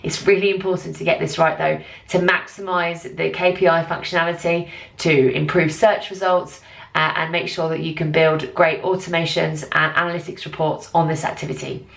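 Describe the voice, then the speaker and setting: A woman presenting a software tutorial, explaining each step in a clear, instructional way. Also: low-pitched, flat delivery, moderate pace. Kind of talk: screen-share tutorial narration, instructional.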